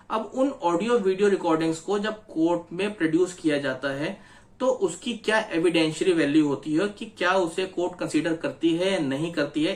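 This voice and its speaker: A legal advisor with an Indian accent explaining things to viewers step by step, methodically and with emphatic repetition. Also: medium pitch. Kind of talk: explanatory lesson addressed to viewers.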